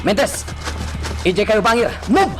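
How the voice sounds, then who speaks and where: An actor with a Filipino accent playing a hard-driving police chief who barks short orders in a radio drama. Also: male voice, high voice, sing-song, measured pace, over music. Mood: shouting orders.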